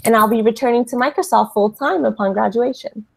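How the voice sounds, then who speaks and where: A cheerful woman in her twenties from California talks about herself at a quick, friendly pace. Her voice sits somewhat high. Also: gravelly. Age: 20s. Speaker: student ambassador. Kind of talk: casual self-introduction.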